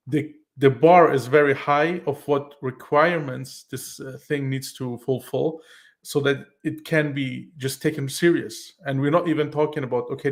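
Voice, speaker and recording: A man explaining earnestly on a call-in talk show. He speaks in halting bursts with many restarts. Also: medium pitch, gravelly. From Iran, raised in Germany. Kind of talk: casual explanatory chat.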